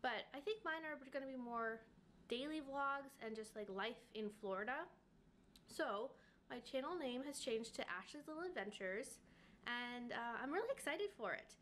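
A woman's voice: high voice, lively intonation, normal speed, a bit bright, a little hiss or hum. A young woman in her twenties vlogging casually from her bedroom, chatting with lots of "like" and "um" fillers. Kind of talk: casual vlog talk. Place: bedroom, indoors.

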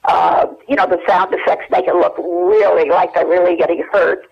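A woman's voice: lowish voice, steady tone, normal speed, creaky, slightly rough. Older woman reminiscing over the telephone. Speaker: interview guest. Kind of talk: anecdotal reminiscence in an interview. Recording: dull telephone line.

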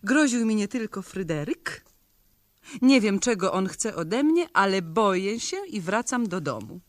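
Spirited young woman, unhurried. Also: mid-range voice, very animated, no room sound. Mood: reading aloud. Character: spirited, teasing young woman, suspicious and quick to object. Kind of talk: 1970s radio play acting.

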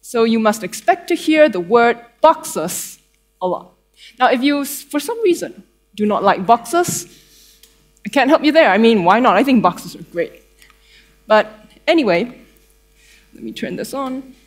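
A woman's voice: fairly high pitch, sing-song, measured pace, pauses often, soft background music. A young woman with a Malaysian accent giving a tech conference talk on stage in a conversational, playful way with dry humour. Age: young adult. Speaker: conference speaker, developer advocate. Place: theatre stage before an audience.

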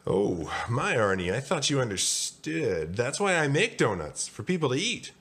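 Man putting on a high, singsong friendly baker. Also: sing-song, moderate pace, fairly bright tone, husky, exaggerated voice. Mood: obliging. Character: friendly, obliging male baker.

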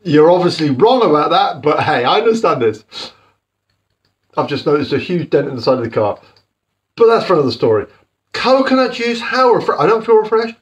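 A man in his fifties giving a jokey, animated home sermon as a house church preacher, filmed by a camera across his living room. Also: on the high side, brisk. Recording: slightly muffled home video.

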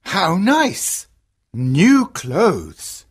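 A woman voicing a kindly middle-aged merchant father in a fairy tale, speaking earnestly and slowly in a husky voice. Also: lowish voice, exaggerated voice. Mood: wondering. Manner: earnest, slow, expressive.

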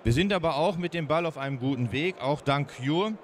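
A man in his fifties with a Ruhr German accent, pitching his startup's product at a trade fair booth into a handheld mic, folksy and lively with many fillers. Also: brisk, slight background noise. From Ruhr area, Germany. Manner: lively, folksy, fillers, speeds up when proud. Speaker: startup founder presenting.

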